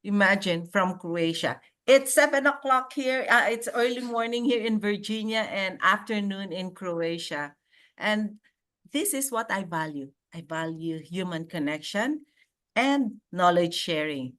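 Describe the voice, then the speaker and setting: Slow-talking woman podcast host. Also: medium pitch, expressive. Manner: warm, slow, effusive with frequent laughs. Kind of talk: casual interview chat. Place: home in Virginia, on a video call.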